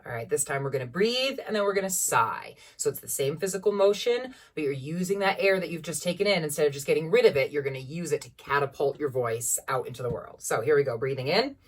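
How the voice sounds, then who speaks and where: A woman in her thirties with a low-placed speaking voice, leading a singing warm-up at home in an encouraging, instructive way. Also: animated, quick pace, hardly any pauses, recorded from a distance. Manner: encouraging, instructive, demonstrates vocal sounds. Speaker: voice teacher. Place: small room at home with a piano.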